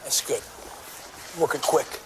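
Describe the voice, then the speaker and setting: A man's voice: slow pace, noisy background, close to an everyday voice. A man playing a stubborn, righteous police lieutenant in an audio drama, terse and indignant in manner. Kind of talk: dramatic dialogue acting.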